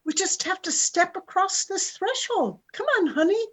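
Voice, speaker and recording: A woman's voice: extremely high pitch, expressive, moderate pace. A woman playing a worried, weary middle-aged mother who speaks reflectively in an amateur radio play.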